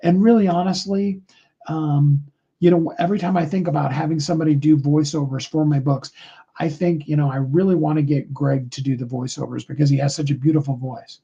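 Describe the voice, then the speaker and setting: A middle-aged man with a high tenor voice, giving a rambling, earnest motivational talk on a live call, full of fillers. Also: fairly even intonation, a little fast, vocal fry, dark tone, breathy edge, muffled recording.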